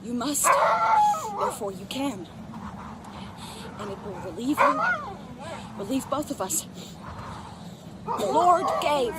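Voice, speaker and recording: An actress playing a desperate, pious, imperious pioneer wife coming unhinged, in theatrical, declamatory radio drama style. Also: female voice, sits high, sing-song, takes it slowly, long pauses, heavy background noise, heavily acted. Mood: pious, insistent. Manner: theatrical, declamatory, repeating herself.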